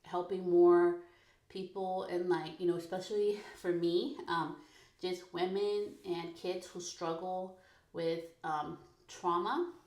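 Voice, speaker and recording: A woman in her thirties hosting a personal podcast, talking frankly and casually, full of "like" and quick laughs. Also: lowish voice, steady tone, a little mellow. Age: 30s. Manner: chatty, frank, peppered with "like", laughs often. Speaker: podcast host. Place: her bedroom recording corner. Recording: echoey home room.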